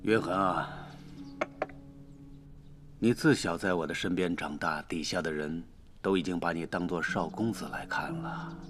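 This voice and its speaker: An actor playing a calculating, fatherly duke nearing fifty in a Chinese period drama, speaking with measured authority. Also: low voice, fairly bright tone, soft background music. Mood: grave, instructive. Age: middle-aged.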